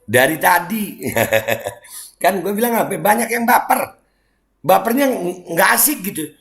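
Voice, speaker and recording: A middle-aged man from Indonesia with a Jakarta Betawi accent, reacting to online comments in a mocking, heated, casual way, switching between low muttering and loud indignant outbursts. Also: expressive, unhurried, vocal fry. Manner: mocking, heated, laughs and sighs often. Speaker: commentator reacting to social media posts.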